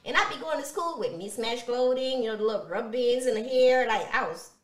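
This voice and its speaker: A woman's voice: a little high, expressive, brisk, roomy sound. A woman in her thirties from Haiti with a Haitian Creole accent, first language Creole, talking expressively to camera in a motivational vlog and repeating words for emphasis. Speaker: motivational vlogger. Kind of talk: personal motivational talk to camera. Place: small indoor studio room.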